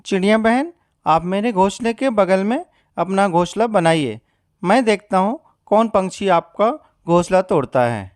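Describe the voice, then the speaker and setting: A man's voice: high-pitched, lively intonation, normal speed, no room sound, studio quality. A man voicing a kindly, protective male crow in a cartoon, speaking in an earnest, brotherly manner. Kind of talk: Hindi moral-story cartoon acting.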